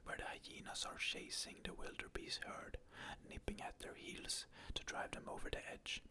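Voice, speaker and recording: A narrator softly whispering a scene-by-scene film retelling in an ASMR style. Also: normal speed. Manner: soft unvoiced whisper, describing scenes.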